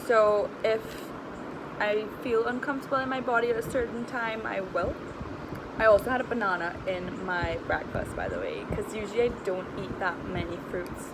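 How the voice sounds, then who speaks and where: A woman's voice: mid-range voice, expressive, moderate pace, background noise. A woman with a Trinidadian accent talking casually and candidly in a personal vlog, with informal slang, as a health coach. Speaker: health and weight-loss coach vlogger.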